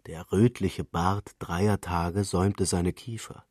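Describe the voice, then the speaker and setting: A man's voice: low voice, fairly even intonation, slow pace, a little mellow, dry recording, clean studio sound. A man reading literary prose as a scripted narrator.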